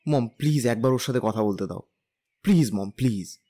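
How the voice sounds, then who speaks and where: Man acting a lovestruck young husband, lively pitch. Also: moderate pace, close to an everyday voice. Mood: pleading. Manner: pleading, playful, whiny with elders. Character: lovestruck, cheeky, stubborn young husband and son. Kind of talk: Bengali audio drama acting.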